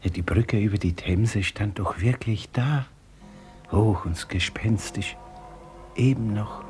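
Casting: tender, bewildered old man, low voice, slow wondering delivery, vintage radio drama. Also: a little mellow, soft background music. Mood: dazed wonder. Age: older. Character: bewildered, tender old father waking from a nightmare. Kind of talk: 1950s German radio play acting.

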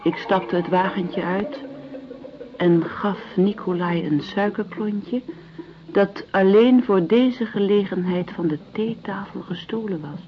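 A muffled radio-play recording with faint music. An actress as a genteel, wistful woman speaks very slowly in a fairly low voice, measured and reflective. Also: lightly acted. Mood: wistful, reminiscing. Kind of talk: measured radio drama acting.

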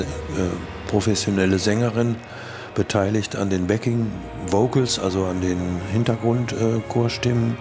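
A man with a fairly low voice recounts an anecdote in an interview. He halts and searches for words as he goes, and music plays clearly underneath. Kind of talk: unscripted anecdotal recollection.

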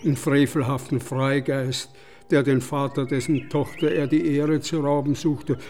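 A man playing a cultured, genteel salon gentleman in a German radio play, with a formal, mannered delivery. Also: mid-range voice, little pitch movement, unhurried, natural voice.

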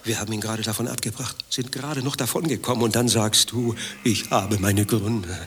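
Actor as jealous, wounded lover, sharp-voiced. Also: male voice, lowish voice, steady tone, fairly quick, slight background noise, lightly acted. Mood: reproachful, defensive. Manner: theatrical, swinging from indignant outbursts to hushed pleading. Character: wounded, jealous, cowardly married lover, a man. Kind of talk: dialogue acting in a radio play.

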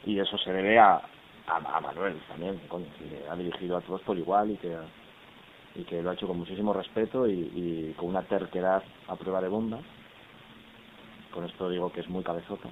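A man's voice: low voice, fairly even intonation, brisk. A male actor talking casually and colloquially at a press conference, rambling with tags and quoted asides. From Iberian Peninsula. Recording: muffled, hissy phone-like audio.

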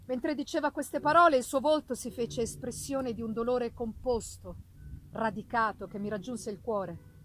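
High female voice, dramatic literary reading, measured and expressive, slightly noisy live recording. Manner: measured, expressive delivery with deliberate pauses. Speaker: reader of a novel. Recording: noticeable background noise, live performance reading.

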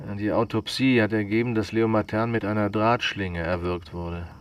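An actor playing a dry police investigator in a radio drama, measured and matter-of-fact with a slyly courteous manner. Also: male voice, lowish voice, fairly even intonation, slight background noise, natural voice. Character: dry, politely insinuating male police investigator. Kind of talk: naturalistic radio drama dialogue.

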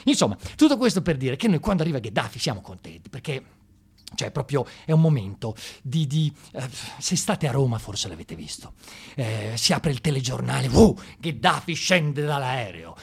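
Animated, sarcastic male monologuist. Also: mid-range voice, fairly quick, gravelly, breathy edge. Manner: mock-enthusiastic, gushing, sarcastic. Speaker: stage monologuist. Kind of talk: satirical theatre monologue, colloquial.

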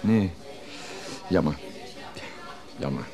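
An actor playing a prying, condescending male antique dealer in a radio drama, formal and insinuating, with hesitant pauses. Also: low-pitched, expressive, over music, heavily acted. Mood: prying, feigned politeness. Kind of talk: radio drama acting, dialogue.

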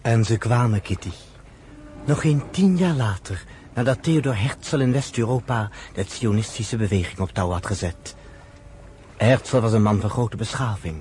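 A man's voice: medium pitch, a little mellow, slight background noise. A man narrating a radio drama in a scripted storytelling style.

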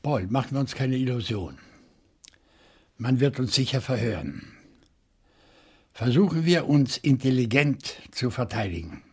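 An actor playing a bookish, lonely older French bachelor in a German radio crime play, probing and deliberate in manner. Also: male voice, mid-range voice, lively intonation, breathy edge. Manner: deliberate, thoughtful, with hesitant pauses.

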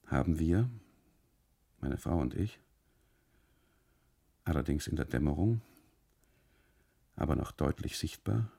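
An uneasy, gravelly, low-voiced husband. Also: male voice, mellow, no room sound, studio quality, full character voice. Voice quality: gravelly, husky. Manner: halting, fragmented phrasing, insistent repetition. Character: uneasy German husband on holiday, anxiously recounting, obsessive. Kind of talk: literary radio monologue, 1980s German radio drama.

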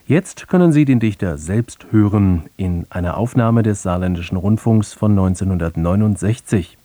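A man's voice: deep voice, dark tone, no room sound. A man giving composed, scripted and informative commentary as a radio presenter.

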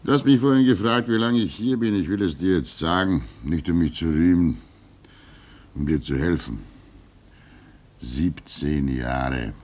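Fatherly old hermit in a radio drama: low voice, very unhurried, gentle, resigned, reflective. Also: lively intonation. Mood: fatherly, earnest. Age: older. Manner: fatherly, reflective, halting, swinging between emphasis and hush. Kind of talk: German radio play acting.

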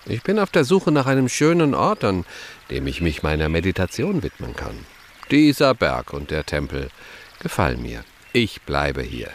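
A man's voice: low voice, wildly swooping pitch, normal speed, slight background noise, full character voice. A man playing an easygoing but easily offended wandering monk, expressively, in a children's radio play. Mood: easygoing, thirsty.

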